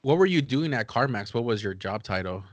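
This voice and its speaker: A man's voice: mid-range voice, expressive, a little fast, no echo. Male interview host, conversational and informal, mid-range voice in a dry, close sound. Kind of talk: casual interview chat.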